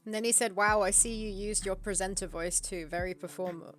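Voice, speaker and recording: A woman chatting casually with her co-host on a game development stream, talkative and quick to laugh. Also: medium pitch, steady tone, a bit bright. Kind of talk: casual chat on a live stream.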